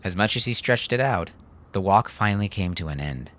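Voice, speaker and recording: Very low-voiced man narrating a story. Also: expressive, fairly quick, background noise. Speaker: audiobook narrator.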